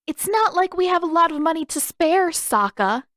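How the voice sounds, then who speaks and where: A woman's voice: very high-pitched, animated, normal speed, fairly bright tone, dry recording, studio quality, pitched above the performer's own voice. A woman voicing a stubborn, exasperated teenage girl with an indignant, emphatic delivery in a story reading. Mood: defensive.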